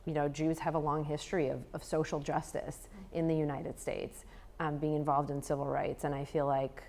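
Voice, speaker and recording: A woman speaking as a poet on a panel, measured and full of "you know" fillers. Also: low voice, little pitch movement, darkish tone, slight background noise. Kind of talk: panel discussion.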